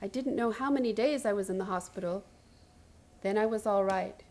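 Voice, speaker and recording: A woman's voice: medium pitch, a little fast, a little mellow, lightly acted. An actress playing an obsessed, frantic woman hospital patient who tells her strange story in a radio play, intense and theatrical. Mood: haunted, insistent. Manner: intense, haunted, urgent, theatrical. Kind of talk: radio play acting, first-person dramatic narration.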